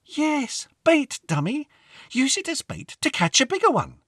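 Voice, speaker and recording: A man performs an eight-year-old girl who rules the other children, bossy and imperious. The voice is pitched far above an adult male register and swings widely as it hands down orders in a storybook reading, recorded dry. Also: huge pitch swings, unhurried, fairly bright tone, no room sound, heavily acted.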